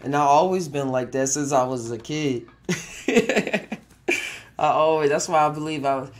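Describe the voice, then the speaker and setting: A man's voice: fairly high pitch, unhurried. A man in his twenties hosting a casual solo podcast, rambling with frequent chuckles and restarted sentences. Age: 20s. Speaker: podcast host.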